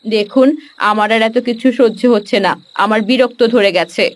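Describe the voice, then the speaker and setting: A woman's voice: a little high, normal speed, lightly acted. A woman voicing a harsh, domineering daughter-in-law in a cartoon, with a scolding, scornful manner. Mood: fed up, scheming. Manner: scolding, sharp-tongued.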